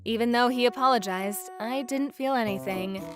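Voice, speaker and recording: Woman acting a high-voiced, resolute young wife. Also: moderate pace, lightly acted. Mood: narrating, earnest. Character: weary, resolute young wife telling her divorce story. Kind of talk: first-person manga dub narration. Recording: music bed under the voice.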